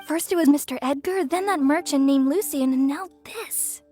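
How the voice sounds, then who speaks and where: A woman's voice: high-pitched, moderate pace, warm tone, faint music behind, natural voice. An actress playing an anxious, fretful townswoman in a video game. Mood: worried.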